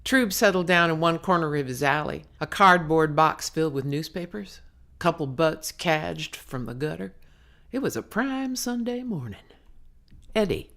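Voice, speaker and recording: A woman's voice: deep voice, lively intonation, moderate pace, a bit bright. A woman narrating her own novel as an audiobook, in a dramatic storytelling manner with slangy, clipped phrasing. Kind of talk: scripted narration, audiobook reading.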